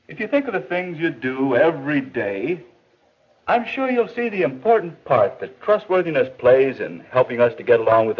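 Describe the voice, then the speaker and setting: An actor playing a patient father who gently advises his son in a reassuring, lecturing way, with a husky voice. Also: mid-range voice. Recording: dull old film soundtrack.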